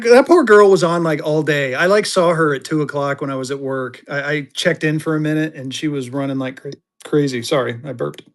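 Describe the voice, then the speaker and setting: A man hosting a live-stream auction of paper money, chatty, jokey and excitable. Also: fairly high pitch. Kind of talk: casual live-stream selling chat.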